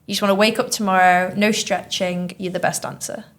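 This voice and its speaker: Mancunian woman, teacher-host, casual encouraging chat, mid-pitched voice. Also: little pitch movement, a little fast, a bit bright. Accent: Mancunian English. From Manchester, England. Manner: chatty, encouraging, lots of "like" and "okay". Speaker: teacher hosting a school podcast. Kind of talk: casual interview chat with pupils.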